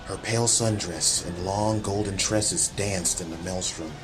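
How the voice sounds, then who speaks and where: A man's voice: deep voice, measured pace, noisy background. A man reading scripted, dramatic action-fantasy narration as an audiobook narrator.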